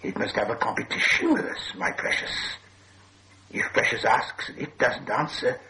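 A man's voice: medium pitch, big pitch swings, moderate pace, creaky, bright, forceful tone, heavily acted, poor audio. A man voicing a slimy, hungry cave creature in a storybook reading, whispering and hissing to himself in a wheedling way. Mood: menacing.

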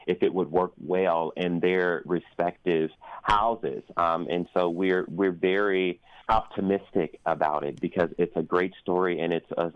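A man with an American accent giving thoughtful interview answers in a measured, deliberate way with pauses. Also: low voice, no room sound. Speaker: interview guest, opera performer and activist. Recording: dull, band-limited sound.

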